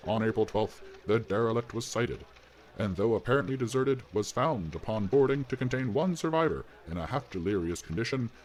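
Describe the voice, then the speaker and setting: Lively male voice reading a news report. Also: medium pitch, slight background noise, rough recording. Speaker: reader of a newspaper article.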